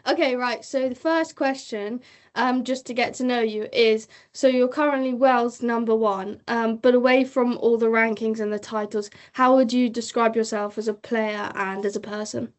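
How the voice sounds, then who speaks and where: A young woman co-hosting a podcast, reading intro lines and asking careful, slightly halting questions. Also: fairly high pitch, steady tone. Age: young adult. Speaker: podcast co-host. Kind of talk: scripted intro and interview questions.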